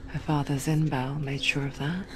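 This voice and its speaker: An actress playing an eerie, knowing spirit who narrates a video game, confiding softly and breathily in measured phrases. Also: female voice, low voice, flat delivery, noisy background, lightly acted. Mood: quiet storytelling. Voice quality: soft, breathy, half-whispered.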